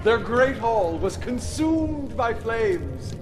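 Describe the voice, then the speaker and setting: An actor playing a grave, servile advisor to a cruel lord in a game cutscene, formal and measured. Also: male voice, extremely high pitch, very animated, slight background noise, heavily acted. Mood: grave, dutiful. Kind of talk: video game cutscene acting. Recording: long reverb, music behind the voice.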